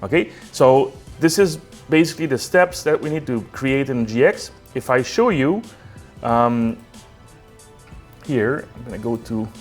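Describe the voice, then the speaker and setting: A man's voice: mid-range voice, expressive, unhurried, soft background music. A man presenting a step-by-step technical tutorial in an unscripted, conversational way, often checking in as he explains.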